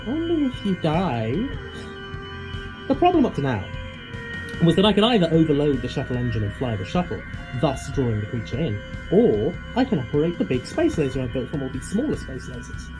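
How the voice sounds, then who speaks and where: Guy acting obsessed monster hunter type, flippant n theatrical, pitch all over the place, music under it, sci-fi audio play. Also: sing-song, a little fast, low-quality recording. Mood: gleefully sly. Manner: theatrical, flippant, wordy, wry. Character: reckless, obsessive male eccentric hunting a monster. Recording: music behind the voice.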